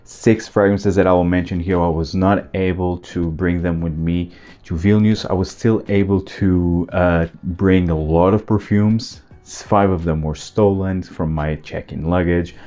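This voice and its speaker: A man's voice: sits low, a little mellow. A man with a Portuguese accent giving a casual, enthusiastic video review as a channel host.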